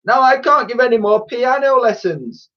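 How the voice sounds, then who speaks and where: A man's voice: very high-pitched, lively intonation, moderate pace, dull audio, voice pushed up. A man voicing a melodramatic, outraged woman piano teacher who shrieks and wails, in a children's storybook reading. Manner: melodramatic screeching.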